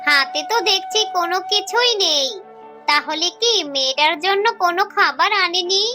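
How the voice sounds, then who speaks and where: Very high-pitched anxious fox mother played by a woman. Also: animated, normal speed, over music. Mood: worried, pleading. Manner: pleading, fretful. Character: anxious, kind-hearted young fox mother and wife. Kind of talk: Bengali children's cartoon voice acting.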